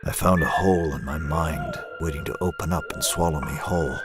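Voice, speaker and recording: A man playing a grim, brooding middle-aged vigilante with a grave, deliberate delivery in a motion comic. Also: extremely low pitch. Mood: haunted, introspective. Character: grim, brooding middle-aged male masked vigilante recounting his ordeal. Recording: loud music under the voice.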